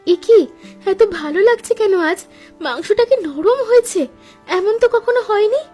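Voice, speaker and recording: A woman voicing a practical young daughter-in-law in a cartoon, talking in a brisk, expressive way. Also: extremely high pitch, soft background music.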